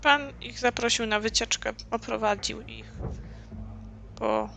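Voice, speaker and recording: A woman commenting casually on a game she is playing. Her voice is fairly high and bright, with noticeable creak. Faint noise and game ambience sit behind her. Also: steady tone, a little slow. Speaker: gaming let's play host. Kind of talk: casual gameplay commentary, summarizing dialogue.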